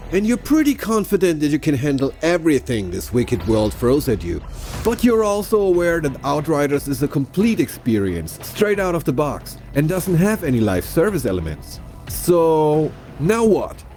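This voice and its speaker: A man narrates a scripted game explainer in a mellow, relaxed voice with lively pitch. He is chatty and playful, talking straight to the viewer over music. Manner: chatty, playful direct address. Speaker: game presenter.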